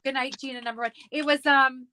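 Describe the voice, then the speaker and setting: A Canadian woman with a fairly high voice chats casually as a craft host. She rambles through anecdotes in a giggly, informal way. The sound is dry, with very little room to it. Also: steady tone, a little fast, pushed and bright, no room sound. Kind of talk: casual chat while crafting.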